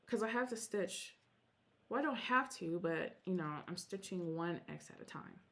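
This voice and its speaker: A woman's voice: fairly low pitch, animated, normal speed, a bit bright. A woman whose first language is Korean, chatting at home about her stitching projects in a rambling, self-interrupting way. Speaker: Flosstube vlogger. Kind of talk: casual show-and-tell vlog.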